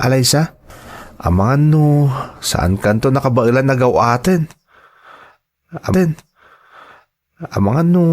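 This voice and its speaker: A man's voice: medium pitch, darkish tone. An actor playing an earnest, insecure young Ilocano man who has just graduated, in a radio drama. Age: young adult.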